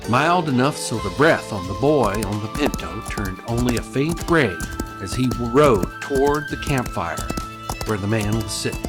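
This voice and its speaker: A man giving measured, poetic narration for an old-time Western radio play. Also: mid-range voice, expressive, natural voice. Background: guitar music.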